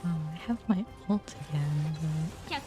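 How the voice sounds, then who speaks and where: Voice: mid-range voice, measured pace. A livestreamer giving casual gameplay commentary, musing to themselves in short bursts. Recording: music behind the voice. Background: game music.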